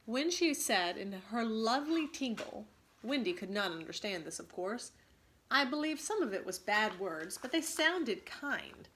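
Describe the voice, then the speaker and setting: A woman teacher reading a story aloud expressively to students, pausing to ask them questions. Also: a little high, very animated, a bit bright, slight background noise, rough recording.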